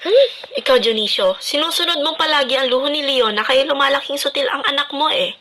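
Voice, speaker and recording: Sharp, high-voiced scolding Filipina mother, acted by a woman. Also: a little fast. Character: stern, grumpy, scolding middle-aged mother. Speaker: student radio drama actor. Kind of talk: amateur radio drama acting.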